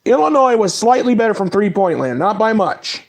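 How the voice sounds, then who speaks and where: A man with a fairly high voice and a cold runs through game scores and player stats in a loose, casual monologue. He reels off the numbers in quick lists. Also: sing-song, gravelly. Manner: reads stats in quick lists, apologizes for mispronouncing names. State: has a cold. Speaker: sports talk host. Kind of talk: casual sports recap monologue.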